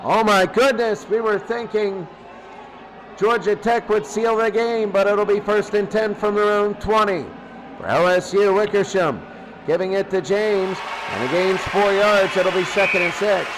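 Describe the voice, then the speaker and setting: A man's voice: sits high, fairly even intonation, unhurried, a bit bright, a little hiss or hum, poor audio. A man with an American accent calling football play by play, exclaiming on big plays. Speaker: play-by-play commentator. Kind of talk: live American football commentary.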